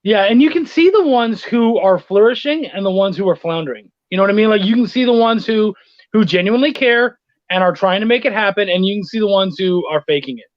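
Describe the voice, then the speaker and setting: A man with a high voice talks casually and earnestly as a guest. The sound is dry and somewhat muffled, like a lo-fi online call. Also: lively intonation, a little fast, no echo. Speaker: guest, freelance comics editor. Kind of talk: casual chat.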